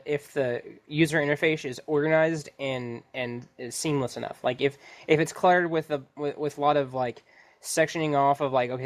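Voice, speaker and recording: A man co-hosting a podcast, talking casually and explaining his points, often acting out imagined remarks. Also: mid-range voice. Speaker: podcast co-host. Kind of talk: casual gaming podcast discussion.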